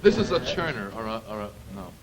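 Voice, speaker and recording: A man's voice: lowish voice, big pitch swings, a little fast, crowd noise. A man playing charades as a game show contestant, blurting out short, repeated guesses. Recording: roomy old TV studio, audience behind.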